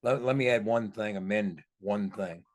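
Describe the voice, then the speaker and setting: Male sports podcast analyst, low-pitched, emphatic and explanatory. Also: moderate pace.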